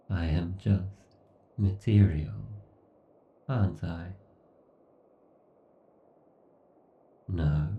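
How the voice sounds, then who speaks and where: A muffled, distant-sounding recording carries a villainous AI god , acted by a man, obsessed and menacing. The voice is thick with gravel, brooding through a horror roleplay monologue with deliberate pauses between phrases. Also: low-pitched, exaggerated voice. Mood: wounded, accusing. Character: obsessed, villainous male AI god ruling a scorched apocalypse.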